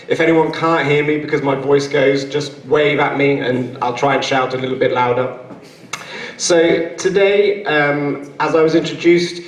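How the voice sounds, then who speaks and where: A man with a croaky voice giving a conference talk as a nutritionist. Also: on the high side, unhurried. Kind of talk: conference presentation. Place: conference hall. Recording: echoey hall.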